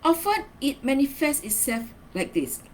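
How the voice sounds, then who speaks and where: A woman's voice: high-pitched, very animated, normal speed, darkish tone, a little hiss or hum. A woman giving a semi-scripted training lesson as a marketing trainer, instructional, stressing key words and checking in with short tag questions.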